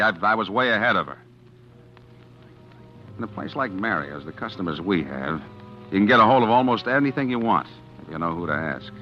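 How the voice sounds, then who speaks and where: A calculating, hard-boiled schemer, played by a man, tells his own story in a 1940s radio thriller. His voice sits low but moves a great deal, confiding and nervous by turns. Music plays under the dull, lo-fi broadcast sound. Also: natural voice. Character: cool, calculating man plotting to poison his wife. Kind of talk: first-person narration in 1940s radio acting.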